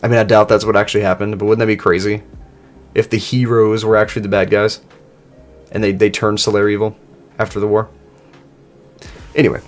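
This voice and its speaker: A man hosting a livestream, reading web fiction aloud with casual commentary, thinking out loud as he goes. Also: on the low side, level tone, fairly quick, pauses often, soft background music. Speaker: livestream host.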